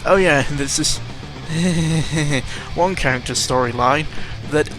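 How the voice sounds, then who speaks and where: A man's voice: fairly high pitch, slow pace, rough recording. A young man ranting casually about video games, exasperated and full of fillers. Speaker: gaming vlogger. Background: video game music.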